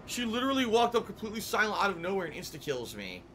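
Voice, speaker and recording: A man streaming gameplay from a small room, talking over a headset with a lively, swinging pitch that sits a little high. He rants and swears at the game. Loud game audio sits under his voice throughout. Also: fast talker, noisy background. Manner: frustrated, swearing, ranting. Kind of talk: live gameplay commentary. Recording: game sounds loud behind voice.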